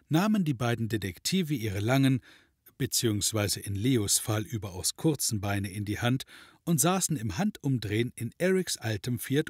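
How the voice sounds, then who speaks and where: An actor playing the wry narrator of a detective comedy audio drama, reading scripted narration. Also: male voice, mid-range voice, slightly rough, no room sound, clean studio sound.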